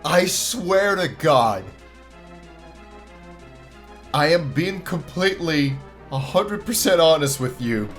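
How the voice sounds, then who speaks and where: A man's voice: fairly high pitch, expressive, measured pace, a bit bright, soft background music. A man hosting a reaction video with casual, informal commentary, swearing and repeating phrases for emphasis.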